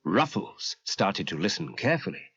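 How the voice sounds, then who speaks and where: A man narrating a children's story in a dramatic, theatrical and expressive style. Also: sits low, normal speed, breathy edge. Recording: muffled cassette transfer.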